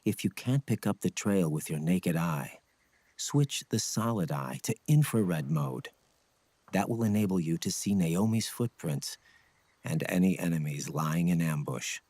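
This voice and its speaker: An actor playing a stoic ninja scout mentor in a video game, speaking in a grave, measured, husky voice. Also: male voice, lively intonation, a little mellow, no echo. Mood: solemn, instructive. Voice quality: low, husky. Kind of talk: dramatic video game acting.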